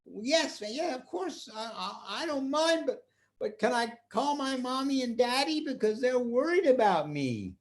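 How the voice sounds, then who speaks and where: A man's voice: very high voice, big pitch swings, measured pace, pitched above the performer's own voice, exaggerated voice. A man voicing a frightened little boy who stammers anxiously. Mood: timid.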